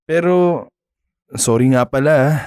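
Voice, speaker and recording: An actor with a Filipino accent playing a shy, polite young man, smitten and halting, in a radio drama. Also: on the high side, darkish tone, smooth, no echo, clean studio sound, lightly acted. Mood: apologetic. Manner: hesitant, halting, nervous chuckles.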